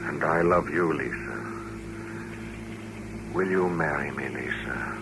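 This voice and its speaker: An American actor playing a lonely, quietly controlling mutant suitor in a 1950s radio drama, hesitant yet insistent and coaxing. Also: male voice, a bass voice, takes it slowly. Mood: hypnotic, insistent. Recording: noisy vintage radio broadcast recording.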